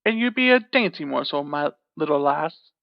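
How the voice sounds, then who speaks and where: A woman reading a storybook aloud and voicing a gruff, bossy male giant who talks slowly and menacingly in a low, loud voice. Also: lively intonation, no echo. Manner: booming, slow and menacing. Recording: dull, dry lo-fi recording.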